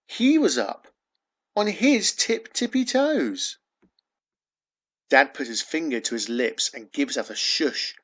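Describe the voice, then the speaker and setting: Male children's storyteller, mid-pitched, unhurried, expressive rhyming delivery with dramatic emphasis. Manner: dramatic emphasis on key words; sing-song refrain. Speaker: children's author reading own book.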